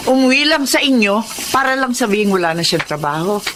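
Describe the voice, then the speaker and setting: A woman's voice: medium pitch, very animated, a little fast, noisy background, close to an everyday voice. A woman playing an indignant, vengeful older friend and confidante in a radio drama.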